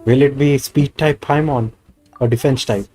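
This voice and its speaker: A man's voice: mid-range voice, steady tone, normal speed. A man with an Indian accent, a native Hindi speaker, giving casual live commentary as a game streamer. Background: game music.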